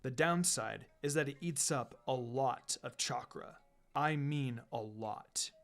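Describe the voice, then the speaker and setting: A man voicing a calm, firm, encouraging adult ninja teacher with a measured, instructive delivery in a story reading. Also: mid-range voice, gravelly, pitched below the performer's own voice. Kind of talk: fan fiction reading with character voices. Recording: music behind the voice.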